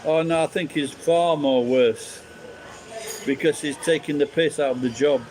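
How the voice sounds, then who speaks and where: A man's voice: fairly high pitch, steady tone, measured pace, darkish tone, rough recording. A man from England with a Sheffield accent, ranting bluntly and swearing in casual chat. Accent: Sheffield English.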